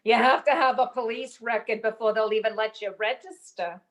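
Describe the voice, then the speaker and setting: Woman playing a brassy, high-pitched naive dame. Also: steady tone, normal speed, a bit bright, natural voice. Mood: brassy, curious. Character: brassy, naive woman mixed up in rackets, nicknamed Bingo. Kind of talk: 1940s detective radio-play acting.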